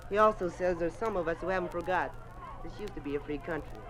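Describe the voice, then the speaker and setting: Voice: mid-range voice, fast talker, crowd noise, close to an everyday voice. An American performer playing a sulky, defensive schoolboy in a 1950s radio drama. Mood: sullen, defensive. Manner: defensive, sullen. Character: sulky, touchy schoolboy, kept from joining friends.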